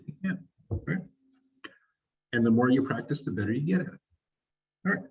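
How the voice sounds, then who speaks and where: A husky-voiced man coaches a group over a video call, upbeat and encouraging as he prompts people for answers. The audio is dull and lo-fi. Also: mid-range voice, animated, moderate pace. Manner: encouraging, asks questions. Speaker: training session leader.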